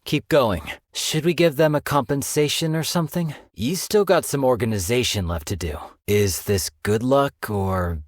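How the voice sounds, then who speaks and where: An actor playing a sly young male stockbroker in a game, polite and businesslike with a quiet menace. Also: mid-range voice, normal speed, few pauses, dry recording, studio quality, lightly acted. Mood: suave. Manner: suave, polite yet menacing, business jargon. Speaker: game character voice lines. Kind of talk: studio game voice acting. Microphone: close studio mic.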